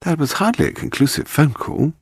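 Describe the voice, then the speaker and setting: A man's voice: fairly high pitch, expressive, fairly quick, slightly rough, dry recording, studio quality, voice pushed up. Man voicing Steve, a lighter, wry woman.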